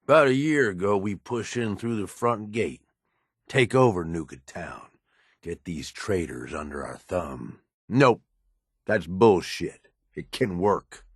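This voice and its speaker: An actor playing a scheming, gruff middle-aged raider lieutenant in a video game, blunt and wry as he persuades. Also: low voice, lively intonation, slow pace, no room sound, clean studio sound, heavily acted. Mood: explaining, persuasive. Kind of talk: game dialogue acting.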